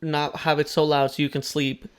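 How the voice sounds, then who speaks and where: A man's voice: on the high side, flat delivery, normal speed, smooth. A man in his twenties from Mexico with a Mexican accent, co-hosting a podcast in a laid-back, joking way with stumbling restarts. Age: 20s. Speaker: podcast co-host. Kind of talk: casual chat.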